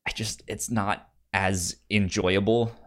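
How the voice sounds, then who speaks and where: A low-pitched male podcast co-host chats casually and argues his opinions. His speech is halting, with frequent stutters on repeated syllables, circling back as he builds a point. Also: steady tone, a bit bright. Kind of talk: casual debate chat about movies.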